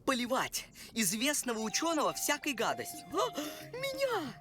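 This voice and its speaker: Pompous high-pitched alien professor. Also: female voice, wildly swooping pitch, moderate pace. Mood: indignant. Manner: pompous, indignant, lecturing. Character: pompous, easily offended, vain alien professor and academician. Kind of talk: animated film voice acting. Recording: music behind the voice.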